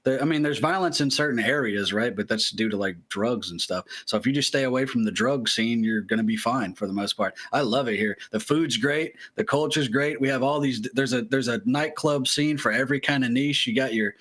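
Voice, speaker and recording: A man's voice: medium pitch, fairly quick, few pauses, fairly bright tone. A man chatting casually and enthusiastically as a podcast co-host. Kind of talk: casual chat.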